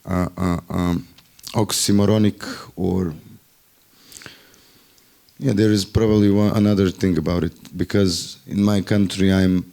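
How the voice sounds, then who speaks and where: A man's voice: deep voice, flat delivery, measured pace, gravelly, warm tone. A man with a Bosnian accent sharing wry, ironic remarks in a group discussion, sometimes searching for words. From Bosnia and Herzegovina. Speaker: festival workshop participant.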